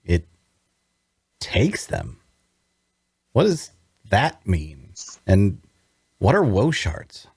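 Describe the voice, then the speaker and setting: Guy acting as wounded nerdy prisoner, slow talker w/ big pitch swings, wary n curious, hesitant, fantasy table read. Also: wildly swooping pitch, gravelly, a little mellow, slightly rough, no echo, full character voice. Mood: wary, questioning. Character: wounded, bookish male prisoner, wary and inquisitive. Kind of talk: audio drama table read.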